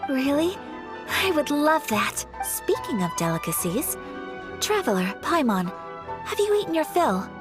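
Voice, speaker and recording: A refined young noblewoman from an anime game. Her voice is on the high side, with polite, formal and earnest phrasing and a gentle temper. Music plays clearly behind her. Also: sing-song, measured pace. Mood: curious, earnest. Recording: clean voice over game music.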